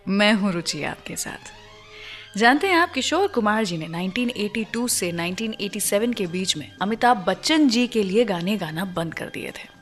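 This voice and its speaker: A woman's voice: mid-range voice, a bit bright. A woman presenting a radio show in a conversational storytelling style, putting teasing questions straight to her listeners. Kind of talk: conversational radio show presenting, telling film music trivia.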